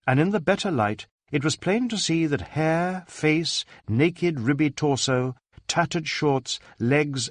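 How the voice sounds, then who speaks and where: A man reading a story as a scripted audiobook narrator, in an expressive storytelling manner. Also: mid-range voice, no echo, studio quality.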